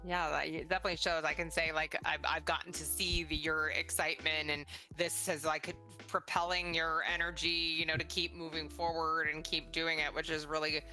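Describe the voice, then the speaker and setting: A woman's voice: deep voice, level tone, normal speed, hardly any pauses, bright, forceful tone, low-quality recording. A middle-aged woman conducting a casual interview in an encouraging, conversational way. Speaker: interviewer, mother of the creator. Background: music.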